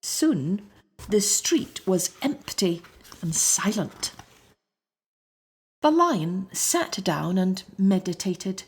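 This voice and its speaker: A woman narrating a children's story from a script in an expressive, deliberate storyteller's manner. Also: mid-range voice. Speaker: storybook reader.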